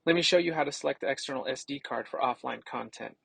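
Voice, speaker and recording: A man narrating a scripted product demo as a tech reviewer, casual and explanatory, with a gravelly, slightly husky voice. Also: mid-range voice, fast, a bit bright, no echo.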